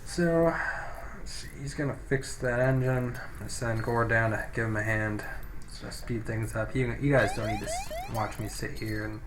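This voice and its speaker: A man's voice: medium pitch, steady tone, moderate pace. A man giving casual let's play commentary, thinking aloud in an offhand way into a poor-quality microphone. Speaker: gaming video host. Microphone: cheap low-quality microphone. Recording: poor microphone, faint background noise.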